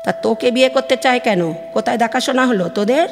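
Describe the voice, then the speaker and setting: Audio play: actress as fretful, insistent village mother, West Bengal accent, high pitch, loud music underneath. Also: a little fast, lightly acted. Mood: alarmed, incredulous. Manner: colloquial, insistent, questioning. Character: anxious, nagging, needy village mother on the phone. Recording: long studio reverb, loud music underneath.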